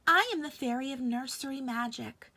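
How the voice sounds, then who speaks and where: Gentle storybook fairy, stately and soothing, high bright tone played by a female reader. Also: normal speed, full character voice. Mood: gentle, reassuring. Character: gentle, beautiful female nursery magic fairy.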